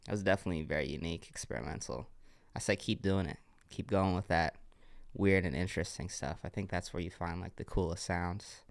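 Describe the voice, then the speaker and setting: A man's voice: deep voice, a little fast, gravelly, dry recording, clean studio sound. A young man with a congested voice, giving laid-back, encouraging feedback on music submissions during a livestream. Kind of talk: casual livestream commentary.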